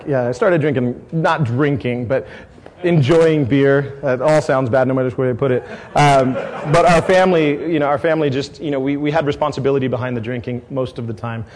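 A man's voice: mid-range voice, darkish tone. A middle-aged man from San Diego, a brewery owner, talking casually to a tasting crowd, rambling with many fillers and restarts. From San Diego, California. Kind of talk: casual talk at a tasting event.

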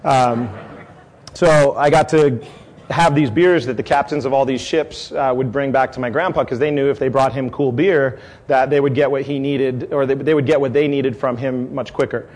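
A man's voice: mid-range voice, fairly even intonation, moderate pace, darkish tone. A middle-aged man from San Diego, a brewery owner, talking casually to a tasting crowd, rambling with many fillers and restarts. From San Diego, California. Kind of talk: casual talk at a tasting event.

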